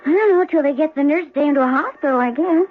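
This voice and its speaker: High-voiced guarded, streetwise young woman played by an actress. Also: fast, close to an everyday voice. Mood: guarded, sassy. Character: guarded, slangy, tough young woman, easily flustered. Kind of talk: radio drama acting. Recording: thin phone-like sound, heavy noise.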